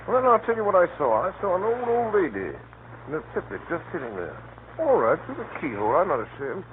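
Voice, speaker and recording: An actor playing a nosy, excitable male neighbour in 1930s radio style, rambling and repeating himself conspiratorially. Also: sits high. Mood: excited, insistent. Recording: scratchy narrow-band 1930s radio recording.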